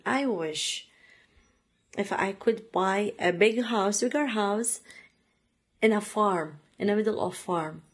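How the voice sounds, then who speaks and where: Slow, halting woman from Iraq. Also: mid-range voice. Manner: halting, many fillers and restarts, second-language phrasing. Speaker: interviewee. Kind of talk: personal story, casual.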